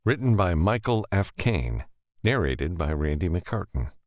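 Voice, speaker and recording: A man narrating a science fiction audiobook in a measured, deliberate storytelling manner with dramatic pauses. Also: low voice, very animated, heavy background noise.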